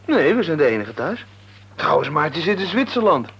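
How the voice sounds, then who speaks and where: A man's voice: on the high side, sing-song, moderate pace, vocal fry, a bit bright, slightly rough, heavily acted. A man playing a good-natured, hesitant youngster in a Dutch radio play, chatty and obliging. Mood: friendly. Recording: dull, noisy old radio recording.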